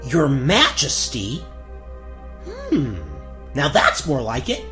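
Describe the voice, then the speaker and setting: A man voicing a cowardly, blustering young prince who stammers excitably in a cartoon parody. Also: a little high, slow, soft background music, heavily acted. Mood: cocky. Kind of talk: cartoon gag-dub parody.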